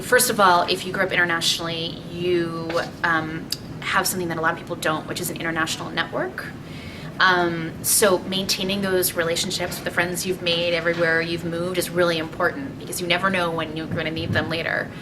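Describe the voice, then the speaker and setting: Woman career advisor, heard from afar. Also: lowish voice. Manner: clear, list-like advice with fillers. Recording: distant mic with noise behind.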